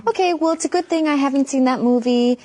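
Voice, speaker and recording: A woman's voice: high voice, fast, darkish tone. A woman co-hosting an English lesson, friendly and chatty, asking questions. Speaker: co-host. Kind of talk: casual chat in an English lesson.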